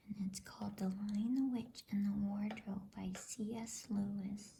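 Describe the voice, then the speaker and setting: A woman whispers softly and breathily in a gentle, informal, unhurried way, hosting an ASMR bedtime reading. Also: medium pitch, gravelly, a little hiss or hum. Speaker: bedtime story reader and host.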